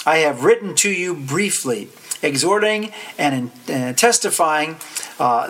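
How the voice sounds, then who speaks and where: A man giving a Bible study lecture, talking deliberately with many "uh" fillers, repeating verse references and now and then clearing his throat. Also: fairly high pitch, a bit bright. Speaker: preacher.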